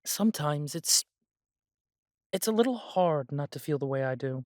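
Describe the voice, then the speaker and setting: A man playing a humble, self-doubting immortal elf who runs a coffee shop in a fantasy audio drama, talking in a gentle, chatty way. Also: fairly high pitch, lively intonation, moderate pace, dry recording, clean studio sound, natural voice. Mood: downcast, self-doubting.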